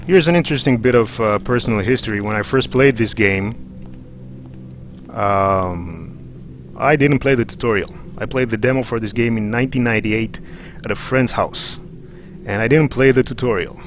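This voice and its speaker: A man's voice: medium pitch, moderate pace, faint music behind. A man from Romania, chatting casually and a little hesitantly as he hosts a live game stream on a headset microphone. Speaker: livestream host, gamer. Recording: noisy headset with game sound behind.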